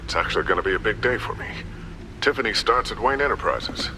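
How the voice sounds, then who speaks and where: A man's voice: on the low side, expressive, fast, lightly acted. An actor playing an amiable, slightly flustered middle-aged male inventor in a video game, casual and hesitant. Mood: hesitant, friendly. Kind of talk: naturalistic video game acting. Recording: clearly noisy background.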